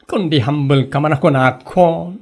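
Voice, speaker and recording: A woman's voice: sits low, moderate pace, dark tone. A woman playing a prying, sympathetic village friend in a comedy audio drama, questioning and a little wry. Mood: wry sympathy. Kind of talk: Ilocano comedy radio drama acting. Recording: faint music under the voice.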